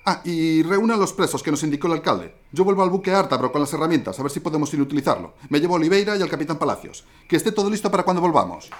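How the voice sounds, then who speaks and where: A man playing a naval lieutenant in a radio drama, giving brisk orders under pressure. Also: fairly high pitch, animated, close to an everyday voice. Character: naval lieutenant, urgent and commanding.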